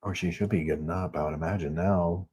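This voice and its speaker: A man with a Southern US accent hosts a chatty live stream from a hotel room, reading viewer comments as he goes. His voice sits low and sounds a bit husky. He rambles and fills his talk with loose, easygoing asides. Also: steady tone, fairly quick, darkish tone. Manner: rambling, chatty, heavy on filler words. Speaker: true crime channel host.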